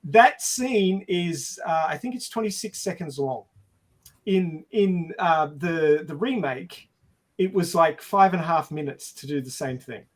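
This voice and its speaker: Podcast panelist, male, slightly high voice, explains in a halting, wry way, casual discussion style. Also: animated. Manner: halting, explanatory, wryly jokey. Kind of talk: casual film and science discussion.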